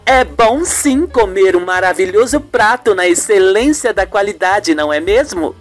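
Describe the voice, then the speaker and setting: Woman acting a poised, pointed journalist, lively pitch. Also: normal speed, soft background music, natural voice. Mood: probing. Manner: pointed, probing. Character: poised, shrewd woman TV journalist confronting her mother-in-law. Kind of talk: amateur radio soap opera acting.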